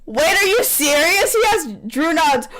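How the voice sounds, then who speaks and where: A woman's voice: very high-pitched, very animated, measured pace, heavy background noise. A woman reading fan fiction aloud with playful banter, theatrical, bossy and giggly, on a poor amateur microphone. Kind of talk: playful read-aloud mixed with casual banter.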